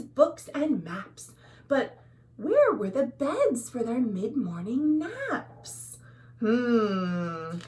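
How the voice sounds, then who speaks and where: A woman's voice: high voice, darkish tone. An American woman hosting a storytime, reading a picture book to young children expressively with sing-song phrasing and explanatory asides. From USA. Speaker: children's librarian and storytime host. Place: at home.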